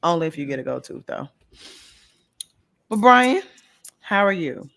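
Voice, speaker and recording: Slightly hoarse young woman chatting. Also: lowish voice, big pitch swings, a little slow, a bit bright. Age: young adult. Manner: laughs often, playful teasing. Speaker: podcast co-host. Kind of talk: casual chat.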